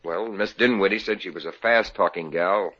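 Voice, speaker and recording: A man's voice: sits low, normal speed, pushed and bright. A sulky, sarcastic young salesman. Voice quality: sardonic, grumbling. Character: grumpy young salesman boyfriend. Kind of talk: 1950s radio comedy acting. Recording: dull lo-fi old radio transcription.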